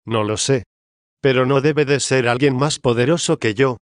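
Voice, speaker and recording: Hombre leyendo a una reina altiva de ultratumba. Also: male voice, medium pitch, normal speed, no echo, clean studio sound, natural voice. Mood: indignant. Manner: disdainful, imperious. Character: haughty dead queen's spirit, woman, proud, scornful.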